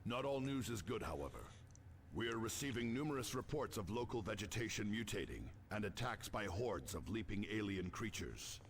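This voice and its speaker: Low-voiced, commanding space marine captain. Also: male voice, sing-song, normal speed. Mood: measured, serious. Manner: formal, grave, commanding. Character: authoritative, weary male space marine captain. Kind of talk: scripted video game transmission. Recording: game audio with faint noise.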